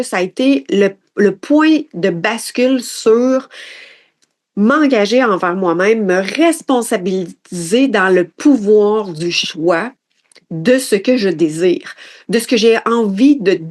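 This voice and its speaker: A woman in her late forties with a Quebec French accent, hosting a reflective personal development podcast in an introspective, halting way, often restarting her phrases. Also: medium pitch. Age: late 40s.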